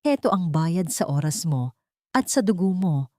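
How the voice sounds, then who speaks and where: A woman's voice: lowish voice, big pitch swings, unhurried, a little mellow, dry recording. Woman acting arrogant wealthy man, cold, commanding, contemptuous, curt, audiobook drama, dry sound. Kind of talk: audiobook melodrama dialogue.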